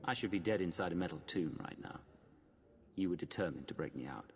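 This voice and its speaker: Game hero: authoritative, determined, deep rumbling pitch, creaky texture. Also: male voice, lively intonation, a little fast, slight background noise. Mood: resolute. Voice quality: deep, gravelly. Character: resolute, commanding male hero leader.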